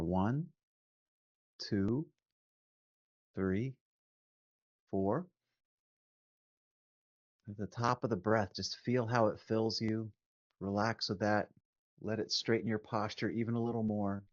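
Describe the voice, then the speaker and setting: A man leading a guided meditation as a teacher, calm and measured, giving gentle instructions with long pauses. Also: lowish voice. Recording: phone-like narrow band, roomy echo.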